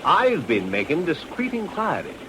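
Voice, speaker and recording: Scheming, fond upper-class husband for period drama, highly inflected delivery over clear background noise. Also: male voice, wildly swooping pitch, normal speed. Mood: conspiratorial.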